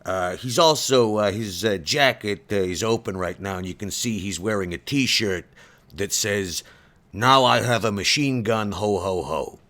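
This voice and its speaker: Male player, deep voice, laid-back and halting. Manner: relaxed, hesitant, lots of fillers. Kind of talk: describing his character in a tabletop game.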